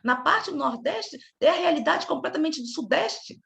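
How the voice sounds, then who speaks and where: A woman from Rio de Janeiro with a Carioca accent, leading a casual study group discussion in an animated, chatty way, often breaking off and restarting. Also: high-pitched, normal speed, creaky. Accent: Carioca Brazilian Portuguese. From Rio de Janeiro, Brazil. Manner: animated, chatty, self-interrupting.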